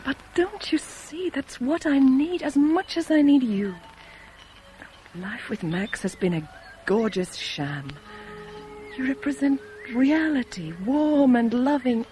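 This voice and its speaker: A woman's voice: high-pitched, dark tone. An actress playing a devoted, troubled woman in love, speaking with earnest intimacy in a 1970s radio drama.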